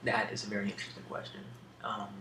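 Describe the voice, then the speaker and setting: A soft-spoken man answering questions in a casual ASMR style, with a hesitant, musing delivery and pauses between thoughts. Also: on the low side, flat delivery. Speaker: ASMR video creator answering a question tag. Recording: roomy echo with faint background hiss.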